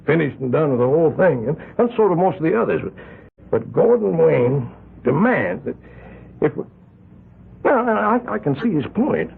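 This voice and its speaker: Muffled vintage radio sound carries an older, wealthy American man heading a vigilante committee. His husky voice swings widely in pitch as he justifies himself in halting, emphatic bursts, full of agitation. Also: very animated, exaggerated voice. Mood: harried. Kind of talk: old-time radio drama acting. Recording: muffled, narrow-band old radio recording.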